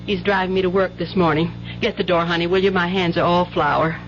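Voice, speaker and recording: A woman's voice: on the low side, fairly even intonation, fairly quick, slight background noise, close to an everyday voice. An actress playing a weary, loving working-class mother with plain, folksy speech in a radio drama. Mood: confiding. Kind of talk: 1970s radio drama acting. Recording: dull old radio broadcast with music.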